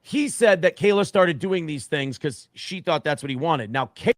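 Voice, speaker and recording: A man's voice: a little high, lively intonation, normal speed, no room sound, clean studio sound. A man in a played clip telling a story he heard firsthand, repeating and correcting himself as he goes. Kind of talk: casual stream talk.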